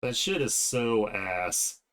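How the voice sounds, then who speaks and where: A man's voice: lowish voice, animated, slow, fairly bright tone. A man chatting casually on a livestream as he draws, with blunt, slangy, profane talk. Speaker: streamer, digital artist. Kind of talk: casual livestream chat while drawing.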